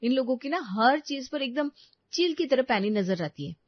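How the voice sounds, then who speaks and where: A woman's voice: high voice, lively intonation, normal speed, lo-fi sound, pitched above the performer's own voice. A woman playing a young working daughter-in-law in a cartoon story, chatty, polite and given to complaint. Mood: exasperated.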